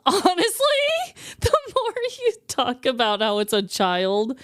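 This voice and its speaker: Woman cohost on a comedy podcast, swears a lot, very animated voice, kinda high. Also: slow, dry recording, studio quality. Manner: emphatic, laughing, blunt and profane. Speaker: podcast co-host. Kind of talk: casual comedic chat.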